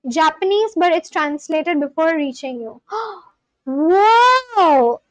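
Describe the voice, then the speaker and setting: A young woman whose first language is Bengali, chatting casually on a live stream, reading out viewers' comments and getting excited. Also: extremely high pitch, slow pace, no room sound, soft background music, poor audio. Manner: excitable, gasps and exclaims. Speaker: live streamer learning Spanish.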